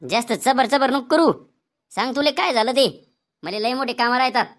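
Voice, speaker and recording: A man's voice: extremely high pitch, normal speed, a bit bright. A man voicing a rude, bragging male quack doctor in a comedy cartoon, scolding in a Vidarbha Marathi accent. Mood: brusque, scolding. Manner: brusque, scolding, boastful.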